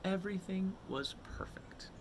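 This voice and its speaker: A man reading a children's picture book aloud expressively, with dramatic drawn-out words and suspenseful pauses. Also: high-pitched, a little hiss or hum.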